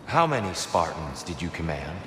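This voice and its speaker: A man playing an ancient, wise god and mentor in a game cutscene, calm, measured and formal as he probes and guides. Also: sits low, background noise. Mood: probing. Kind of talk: video game cutscene acting. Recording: long echoing hall, loud music behind.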